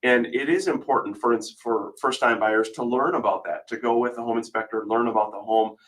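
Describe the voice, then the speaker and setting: An American male talk radio host speaks at a medium pitch. His voice is slightly froggy, as he is battling a cold. He talks in a conversational way to listeners and his co-host. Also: a little fast, a bit bright, low-quality recording. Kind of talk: live call-in real estate radio talk.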